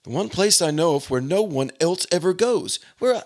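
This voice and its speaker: A man playing a flustered, excitable husband under accusation in an old-time radio drama, stammering as he goes. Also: high voice, moderate pace. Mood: genial, evasive.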